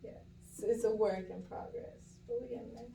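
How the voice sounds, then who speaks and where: A woman's voice: on the high side, steady tone, moderate pace, vocal fry, warm tone. A young woman chatting casually in a candid, reflective way with plenty of slang. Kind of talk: casual chat. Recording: roomy, distant mic with faint hum.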